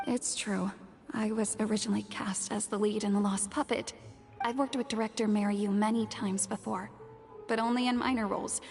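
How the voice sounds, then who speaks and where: A woman's voice: fairly high pitch, vocal fry, darkish tone. A woman voicing an earnest, modest young actress in a video game, with a sincere, polite delivery. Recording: long reverb, music behind.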